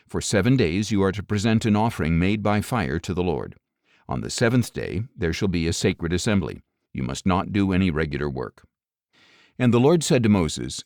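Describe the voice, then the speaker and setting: A man reading scripture as an audiobook narrator, in a measured, solemn, clearly enunciated way. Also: fairly low pitch, a little mellow, dry recording, studio quality.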